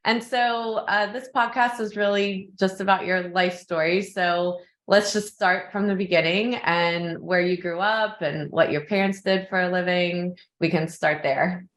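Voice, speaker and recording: A woman hosting a podcast, reading a scripted intro and then interviewing a guest in a measured, encouraging way. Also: fairly low pitch, a bit bright. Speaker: podcast host.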